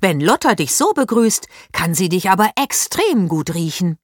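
A woman reading aloud as a firm, sensible schoolgirl who speaks in a sharp, commanding way. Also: lowish voice, very animated, moderate pace, no room sound, clean studio sound. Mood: teasing.

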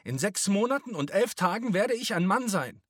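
A man voicing a desperate twelve-year-old boy in an audiobook, shouting with defiance. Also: sits high, very animated, moderate pace, dry recording, clean studio sound, voice pushed up. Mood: defiant, desperate. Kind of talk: audiobook character voice.

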